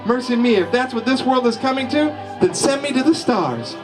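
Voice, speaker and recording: A man's voice: extremely high pitch, expressive, moderate pace, music in the background, voice pushed up. Man doing a coy pleading young lady. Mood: indignant.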